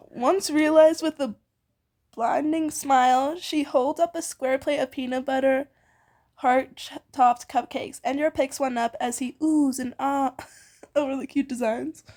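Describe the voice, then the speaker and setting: A woman's voice: high-pitched, steady tone, measured pace, darkish tone. A young woman reading fan fiction aloud casually, giggly and often breaking off into banter. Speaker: amateur fan fiction reader and co-host.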